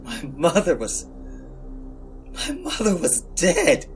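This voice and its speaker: A man's voice: high voice, wildly swooping pitch, slow pace, soft background music, pitched above the performer's own voice, full character voice. A man voicing a grieving, bitter teenage boy in an audio horror story, blunt and foul-mouthed in his anguish. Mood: devastated.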